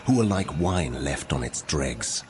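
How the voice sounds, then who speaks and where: An actor voicing a wrathful God pronouncing judgment in solemn, commanding declamation for a dramatized scripture reading. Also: male voice, a bass voice, big pitch swings, a little slow, a little mellow, full character voice.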